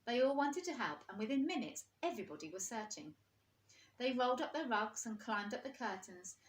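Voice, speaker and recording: A woman's voice: fairly high pitch, lively intonation, a little fast. A woman reading a storybook aloud to young children like a teacher, expressively and with questions for her listeners. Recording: roomy, echoing indoor sound.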